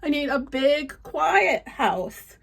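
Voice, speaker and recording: A woman's voice: high voice, very animated, slow, creaky, a bit bright, pitched above the performer's own voice, full character voice. A woman storyteller voicing a weary man who complains miserably that he cannot sleep. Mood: complaining.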